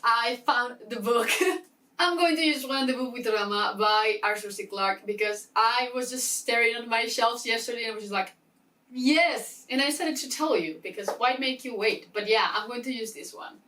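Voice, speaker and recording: A woman talking casually to camera as a book vlogger, chatty and excitable, often interrupting herself with asides. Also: fairly high pitch, normal speed, recorded from a distance, poor audio. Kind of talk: casual chatty reading-plan talk to camera.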